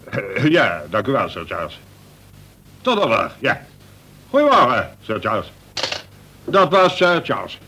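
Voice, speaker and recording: A man's voice: medium pitch, wildly swooping pitch, measured pace, long pauses, pushed and bright, slight background noise, full character voice. A man acting a formal, courteous colonel who runs a prison, in a Dutch radio play. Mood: courteous, brisk. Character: polite, brisk male colonel running a prison. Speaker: actor.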